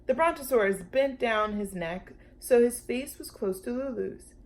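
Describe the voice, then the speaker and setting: Woman, high voice, unhurried pace, expressive storytime read-aloud, direct asides to young children. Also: poor audio. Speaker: storytime reader, teacher.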